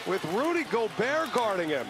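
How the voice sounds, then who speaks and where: A man's voice: high voice, sing-song, moderate pace. A man calling a basketball game live as play-by-play commentator. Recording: loud arena noise and ball bounces.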